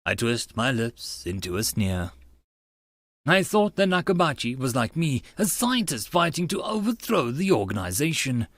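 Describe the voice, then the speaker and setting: A man's voice: medium pitch, very animated, normal speed, fairly bright tone, dry recording, clean studio sound. A man narrating a visual novel aloud as a channel host, slipping into casual asides.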